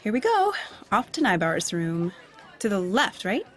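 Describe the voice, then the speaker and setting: A woman's voice: mid-range voice, very animated, measured pace, a faint crowd. An actress playing a friendly, helpful young female friend in a naturalistic audio drama. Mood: friendly, light.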